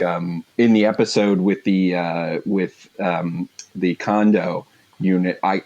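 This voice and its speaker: A man chatting casually as an interview guest, rambling and halting as he searches for words. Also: fairly low pitch, darkish tone, lo-fi sound. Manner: halting, rambling, heavy use of like. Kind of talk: casual chat.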